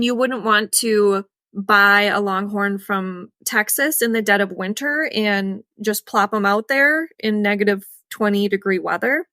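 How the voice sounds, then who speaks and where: A young woman with a Midwestern accent chats about raising cattle on a podcast. Her voice is bright and forceful, and she is chatty and emphatic and laughs often. The sound is dry and close. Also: medium pitch, dry recording. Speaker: co-host, cattle farmer. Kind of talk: casual podcast chat.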